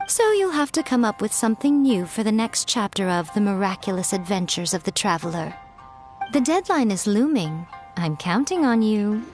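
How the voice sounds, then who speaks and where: A woman's voice: mid-range voice, sing-song, normal speed, a little mellow, lightly acted. An actress voicing a sly, teasing woman with a drawling, amused manner. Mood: smug. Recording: game audio with faint music behind.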